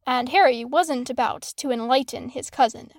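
A woman narrates scripted fiction in a high voice. The recording is dry, with hardly any room sound. She reads the prose as an audiobook narrator. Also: normal speed, no room sound.

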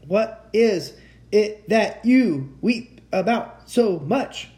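A man playing a polite, earnest, quick-thinking young adventurer in a children's storybook. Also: fairly high pitch, wildly swooping pitch, warm tone. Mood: curious. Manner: earnest, pleading.

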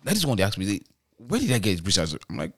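A young man with a Nigerian accent, co-hosting a casual podcast chat and telling animated stories with pidgin and laughter. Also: on the low side, very fast, gravelly. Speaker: podcast co-host.

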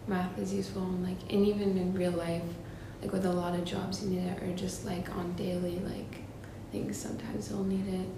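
A teenage female student giving a casual, halting answer in a discussion. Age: teens. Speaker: school student. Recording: very echoey, noisy rumbling room.

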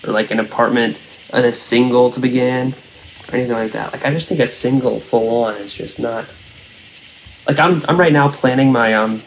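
A young man in casual conversation, wandering through his thoughts with plenty of fillers and false starts. His pitch sits in an ordinary middle range. A faint noise hangs under the recording. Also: normal speed, gravelly, rough recording. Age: young adult. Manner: rambling, many fillers and restarts. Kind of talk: casual chat.

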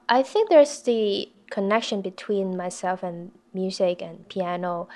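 Slow, gravelly-voiced young woman from Hong Kong. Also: medium pitch. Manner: soft-spoken, halting, with many fillers. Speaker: piano contestant. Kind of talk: casual interview.

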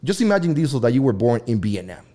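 A man's voice: mid-range voice, very animated, quick pace, warm tone. A man hosting a casual debate chat, rambling with frequent restarts and swearing freely.

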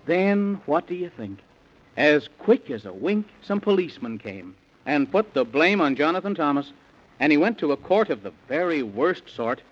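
A man's voice: a little high, big pitch swings, normal speed. A man narrating a rhyming children's bedtime story in theatrical, sing-song 1930s radio style, on an old recording. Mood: eager storytelling. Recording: dull, band-limited 1930s radio recording.

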